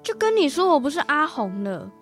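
Polite, high-voiced little shop boy acted by a boy. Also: big pitch swings, fairly quick, fairly bright tone, soft background music, exaggerated voice. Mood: puzzled. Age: child. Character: polite, helpful young schoolboy minding a shop. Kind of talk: radio drama acting.

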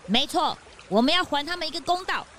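A woman voicing an eager, excitable young sidekick in a children's audio drama. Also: high-pitched, fast talker, slight background noise. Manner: eager, indignant. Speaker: detective's assistant.

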